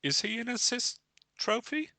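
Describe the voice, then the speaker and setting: Bright-voiced man, laughing podcast host. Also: extremely high pitch, big pitch swings, moderate pace, creaky, no echo. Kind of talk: casual chat, reading out screenshot text.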